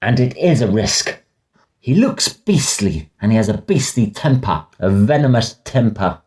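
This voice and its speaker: A man's voice: mid-range voice, very animated, measured pace, darkish tone. A man playing a hearty, gossipy young student oarsman in a Victorian audio drama, speaking emphatically in clipped British English. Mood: indignant. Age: young adult.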